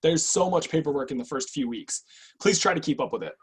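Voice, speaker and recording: An actor playing a guarded, clinical agent with a cool, probing, businesslike manner in an online screenplay reading. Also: male voice, a little high, brisk, husky. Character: guarded, clinical intake agent, weary but obedient man. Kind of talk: online screenplay table read.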